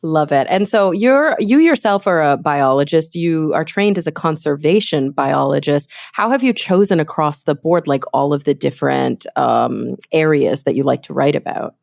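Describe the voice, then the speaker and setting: Woman, low-pitched, gravelly fry, mildly sniffly, informal chatty podcast host. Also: animated, moderate pace, few pauses, a little mellow. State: slightly congested, getting over an illness. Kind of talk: conversational podcast introduction and interview.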